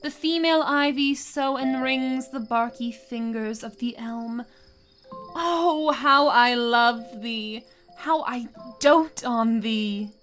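A woman plays an enchanted fairy queen in a Shakespeare radio drama. The voice is high and unhurried, shaping the verse lyrically with royal feeling. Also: fairly bright tone, faint music behind. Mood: tender. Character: doting, regal fairy queen under a love spell. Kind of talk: amateur Shakespeare radio play acting.

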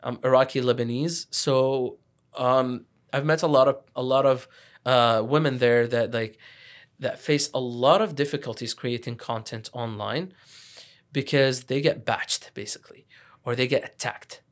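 A young man from Iraq hosting a casual podcast conversation, talking fast with many restarts and fillers. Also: mid-range voice, steady tone. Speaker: podcast host.

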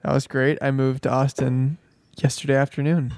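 A man's voice: mid-range voice, level tone, normal speed, a little mellow, no room sound. A young man from East Texas, a guitarist and singer, chatting on a podcast in an easygoing, wry way. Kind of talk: casual podcast chat.

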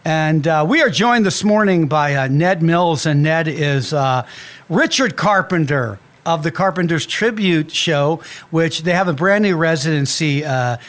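A man hosting a casual radio interview show in a studio, talking in a relaxed way with frequent fillers. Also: fairly high pitch, unhurried.

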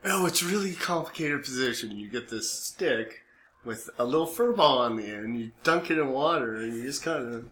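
A man's voice: medium pitch, animated, normal speed, fairly bright tone, roomy sound. An American man co-hosting a podcast, riffing casually in a goofy, rambling way with folksy slang. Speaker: podcast co-host. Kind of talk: casual chat, comic riffing. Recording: faint background noise.

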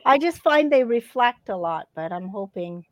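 A woman's voice: medium pitch, animated, normal speed, dry recording. A middle-aged woman talking in a casual, easygoing, conversational way. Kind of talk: casual chat.

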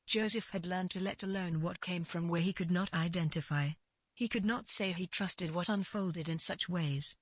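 Slightly low, husky woman narrating fiction. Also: no room sound. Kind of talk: scripted literary fiction narration.

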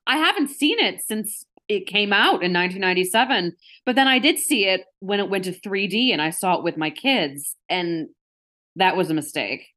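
A woman's voice: mid-range voice, expressive, moderate pace, sharp tone. A woman chatting casually and anecdotally on a podcast panel. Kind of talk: casual chat.

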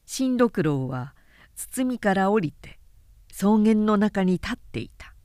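Expressive, dry-recorded woman narrator. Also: mid-range voice, no echo. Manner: measured, dramatic storytelling. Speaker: narrator, announcer. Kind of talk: literary audiobook narration of a period story.

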